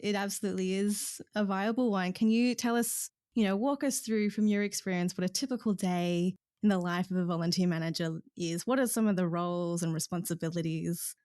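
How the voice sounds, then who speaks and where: A woman's voice: mid-range voice, darkish tone, no room sound, studio quality. A woman hosting a podcast, reading a scripted introduction and asking interview questions in a friendly, encouraging way. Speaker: podcast host.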